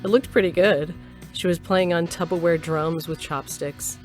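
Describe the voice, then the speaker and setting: Woman acting a low-voiced, wry ex-rocker. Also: steady tone, moderate pace, faint music behind, lightly acted. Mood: wry amusement. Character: wry, wistful woman, ex-rocker recalling her youth. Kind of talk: first-person narration in audio drama.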